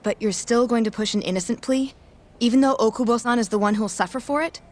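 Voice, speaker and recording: A woman's voice: on the high side, quick pace, darkish tone, lightly acted. An actress playing a hesitant, polite female hospital worker in a video game.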